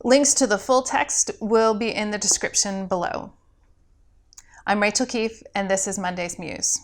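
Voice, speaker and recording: A woman's voice: mid-range voice, steady tone, measured pace. A woman, a pastor and host, reading a scripted story aloud as a storyteller.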